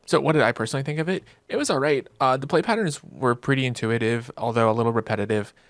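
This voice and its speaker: A man's voice: mid-range voice, fast, no echo. A man narrating a video game review in an informal, wry, joking way, with casual reactions. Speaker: gaming video creator.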